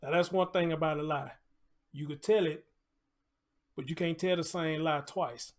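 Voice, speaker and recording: A man's voice: fairly high pitch, normal speed. An American man hosting a casual live commentary show, talking conversationally with preacher-like asides, repeated phrases and rhetorical questions.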